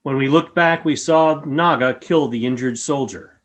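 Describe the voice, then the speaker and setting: A man playing a calm, grave alien creature in a radio play, with a formal, measured way of speaking. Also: mid-range voice, natural voice. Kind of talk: fan radio play acting.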